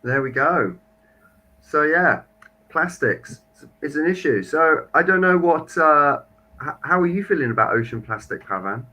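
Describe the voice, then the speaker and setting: Chatty man, bright voice. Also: fairly high pitch, expressive, unhurried. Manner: chatty, reads viewer comments aloud. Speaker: marine biologist livestream co-host. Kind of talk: casual livestream chat.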